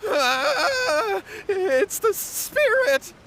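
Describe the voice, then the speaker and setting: An actor playing a nervous, cowardly young villager in a video game, flustered and pleading. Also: male voice, very high-pitched, big pitch swings, very slow, pushed and bright, slight background noise, exaggerated voice. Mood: terrified.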